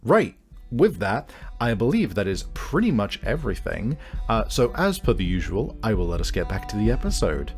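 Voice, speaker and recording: A man's voice: fairly low pitch, big pitch swings, normal speed, soft background music. A man hosting and narrating a tabletop game as game master, chatty and casual, often hesitating and rephrasing. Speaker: game master and show host.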